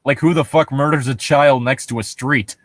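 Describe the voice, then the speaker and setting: A man's voice: medium pitch, fairly even intonation, moderate pace, no room sound, clean studio sound. A man co-hosting a comedy podcast, casually riffing on horror stories in a profane, sarcastic, ranting way. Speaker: podcast co-host.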